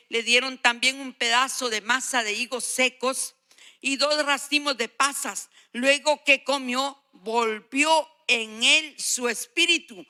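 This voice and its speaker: Older lady preacher giving a sermon, really bright intense voice, emphatic, says stuff twice to drive it home. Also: fairly high pitch. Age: 60s or older. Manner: emphatic, exhorting, repeats phrases for emphasis. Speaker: guest preacher.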